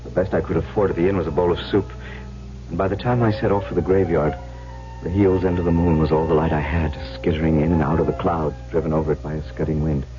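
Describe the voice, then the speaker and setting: A deep-voiced young suitor speaks with brooding, earnest resolve. His measured, dramatic radio delivery comes through a muffled vintage recording with music behind it. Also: fairly even intonation. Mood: grim narration. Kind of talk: old-time radio drama acting and first-person narration.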